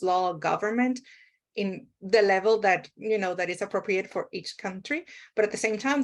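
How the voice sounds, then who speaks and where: A woman speaking unscripted on a panel, explaining things in a conversational way. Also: medium pitch. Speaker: panelist and podcast contributor.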